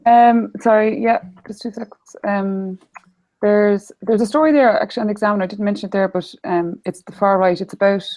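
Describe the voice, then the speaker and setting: A woman's voice: medium pitch, steady tone, brisk, darkish tone, rough recording. An Irish woman on a chat panel, hesitant, with many ums as she talks. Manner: hesitant, lots of ums while finding a story. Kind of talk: casual panel discussion.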